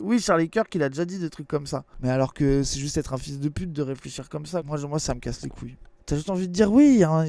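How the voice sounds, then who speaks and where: A young man rants through a casual reaction commentary, crude and heated, circling back on himself with fillers. His voice sits high but mellow. The sound is close and dry. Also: no echo. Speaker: streamer commentator.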